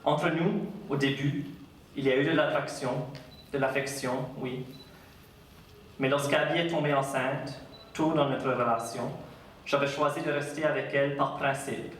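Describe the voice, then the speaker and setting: A man with an Acadian French accent, an author giving a public talk and reading his own writing in a measured, unhurried way. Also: medium pitch, steady tone, a bit bright, a little hiss or hum. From Acadia, Canada. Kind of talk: lecture and literary reading. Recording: very echoey hall, distant mic.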